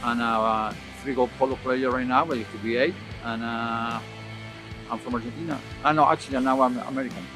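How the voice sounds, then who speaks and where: A man's voice: mid-range voice, steady tone, brisk. A man who plays polo, speaking casually in an interview. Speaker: polo player. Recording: music clearly behind the voice.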